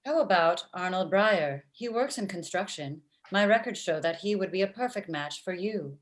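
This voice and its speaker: Woman doing a stilted, deadpan robot matchmaker. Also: fairly low pitch, normal speed, lightly acted. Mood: blunt, unfeeling. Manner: slightly stilted, deadpan, like a digital assistant. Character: blunt sarcastic female old-timey robot matchmaker. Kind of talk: table read of a comedy script. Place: indoor room at home, video call. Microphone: computer mic on video call.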